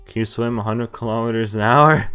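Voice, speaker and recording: Male game streamer, lowish voice, casual read-aloud narration mixed with off-the-cuff reactions, game music behind. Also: a little fast, pushed and bright, clear, resonant tone. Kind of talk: visual novel let's play, reading text aloud with casual commentary.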